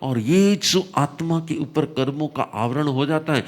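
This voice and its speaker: A man teaching a religious discourse, his voice slightly hoarse, explaining slowly with repetition and emphatic rises. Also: fairly high pitch. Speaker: Jain spiritual teacher.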